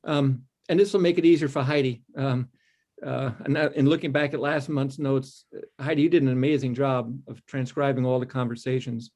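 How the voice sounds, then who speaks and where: A man at a mid-range pitch chairs an online city board meeting. He welcomes people and asks questions in a loose, friendly way, full of "um"s and restarts. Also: fairly even intonation, a little fast, darkish tone. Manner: friendly, rambling, filler-heavy.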